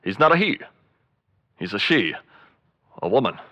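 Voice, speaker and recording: A man's voice: lowish voice, sing-song, moderate pace, bright, forceful tone, dry recording, dull audio. A man playing an earnest old-time radio news announcer, formal and declamatory, in 1930s bulletin style. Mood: correcting.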